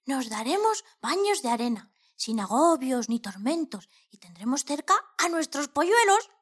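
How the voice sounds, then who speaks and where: A woman's voice: high voice, sing-song, normal speed. A woman voicing an adventurous, resourceful hen in a children's audio story, playful and persuasive. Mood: determined.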